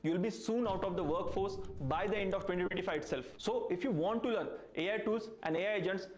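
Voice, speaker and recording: A man giving a brash, pushy sales pitch as an instructor in an online ad. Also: fast. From India. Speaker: workshop instructor promoting a course. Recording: echoey room.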